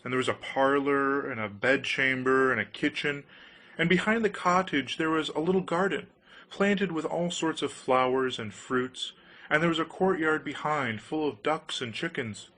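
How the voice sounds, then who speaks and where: A man narrating a storybook fairy tale in an expressive, measured way. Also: a little high. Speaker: audiobook narrator.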